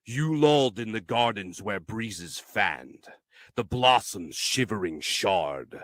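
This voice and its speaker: A man reciting poetry dramatically, in a solemn, declamatory manner with measured phrasing. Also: fairly low pitch, lively intonation, fairly bright tone, dry recording. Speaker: poetry reader.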